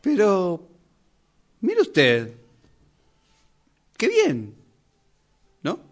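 A man's voice: high-pitched, huge pitch swings, extremely slow, full character voice. A man playing a distracted, evasive psychoanalyst in an amateur radio play, with a Rioplatense Argentine accent and a hesitant, placating, formal manner. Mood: feigned agreement. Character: distracted, evasive male psychoanalyst covering for himself. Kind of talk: amateur radio theatre acting.

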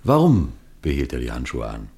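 Deep-voiced, shrewd police detective chief. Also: male voice, wildly swooping pitch, darkish tone, full character voice. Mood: measured, explanatory. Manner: measured, explanatory, knowing. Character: shrewd, self-assured male police detective chief, explaining his trick. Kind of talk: 1960s German radio drama acting.

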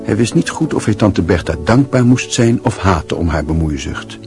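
An actor narrating a literary radio drama in a measured, reflective, scripted style. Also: male voice, fairly low pitch, expressive, a little mellow, faint music behind. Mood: wry, reflective.

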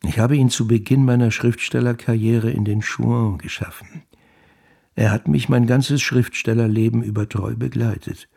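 A man's voice: medium pitch, steady tone, normal speed, vocal fry, a little mellow, slightly rough. An actor playing a wry, self-satisfied novelist who narrates and muses on his characters in a German radio drama, reflective and conversational. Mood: wistful.

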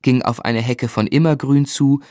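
Dry-sounding, earnest young gentleman narrator played by a man. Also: mid-range voice, steady tone, no echo, close to an everyday voice. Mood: reflective. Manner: measured, reflective, formally polite. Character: earnest, restrained young gentleman telling his life story. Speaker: narrator and protagonist. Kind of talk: literary radio play narration.

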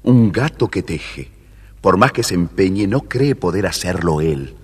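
A man's voice: sits low, lively intonation, a little slow, husky. A man narrating a scripted children's story as the storyteller. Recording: faintly noisy vintage story record.

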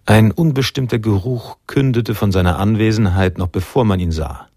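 Deep, gravelly noir narrator. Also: male voice, normal speed, a little mellow, no room sound, studio quality. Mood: sombre, ominous. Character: reflective male noir narrator, sombre storyteller. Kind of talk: literary scripted narration in radio drama.

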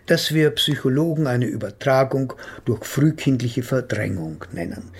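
A man's voice: fairly high pitch, vocal fry, slightly rough, heavily acted. An actor playing a self-assured, pompous male psychotherapist in a German radio play, speaking in elaborate, theatrical phrases. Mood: smug, lecturing. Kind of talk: German radio play, dialogue and first-person narration.

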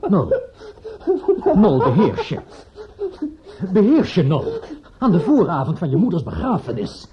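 An actor in a radio play playing a solemn, pious older gentleman who consoles in an earnest, sermonising way. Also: fairly high pitch, wildly swooping pitch, unhurried, a crowd in the background. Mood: alarmed admonishing. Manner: earnest, sermonising, hesitant when upset. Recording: dull lo-fi radio play recording.

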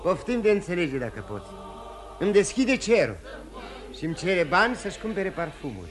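Volatile, dissolute husband, higher-voiced. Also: male voice, big pitch swings. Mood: tipsy, tender. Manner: theatrical, halting, repeats words emotionally. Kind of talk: mid-20th-century radio theatre acting. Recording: old radio drama, music behind.

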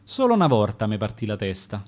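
A man's voice: on the low side, huge pitch swings, brisk. A man with a Roman accent reciting his own dialect sonnets for radio in a rhythmic, dramatic way. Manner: rhythmic, dramatic verse delivery.

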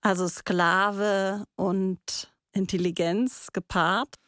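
A woman's voice: mid-range voice, fairly even intonation, drawn-out words, a bit bright, no room sound, clean studio sound. A woman hosting a casual analytical discussion, summing up and probing with questions. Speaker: discussion host.

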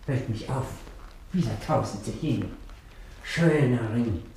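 An actor in a radio play as a greedy, suspicious old miser, querulous and given to muttered repetition. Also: male voice, mid-range voice, a little slow, creaky, mellow, slight background noise, rough recording. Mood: greedy muttering. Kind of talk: theatrical radio play acting.

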